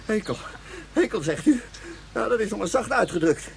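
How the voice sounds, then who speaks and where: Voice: medium pitch, lively intonation, moderate pace, gravelly, a little hiss or hum. An actor in a radio drama playing a sick, miserable, agitated citizen, stammering and gasping in distress. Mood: bitter, hateful. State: nauseous, in distress. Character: sick, miserable, agitated citizen force-fed sweet eel.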